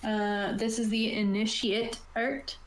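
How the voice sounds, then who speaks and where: A woman's voice: on the high side, flat delivery, slow pace. A woman talking casually as a game master, explaining rules and options to players. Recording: roomy echo, light background noise.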